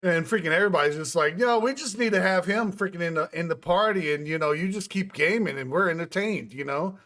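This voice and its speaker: A man's voice: high voice, brisk, a bit bright. A man in his twenties hosting a podcast, talking in a loose, rambling way with lots of filler words. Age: 20s. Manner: rambling, many fillers and restarts. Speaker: podcast host. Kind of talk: casual chat.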